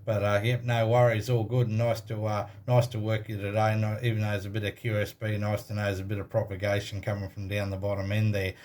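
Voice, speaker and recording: An Australian man working as an amateur radio operator, chatting casually over a contact in halting phrases full of operator jargon. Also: lowish voice, monotone, darkish tone.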